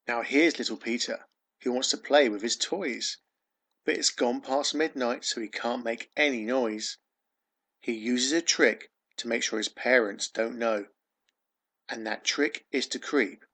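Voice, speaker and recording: A man's voice: mid-range voice, fairly bright tone. A man reading his own rhyming children's story aloud, expressively, with dramatic emphasis and sing-song refrains. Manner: dramatic emphasis on key words.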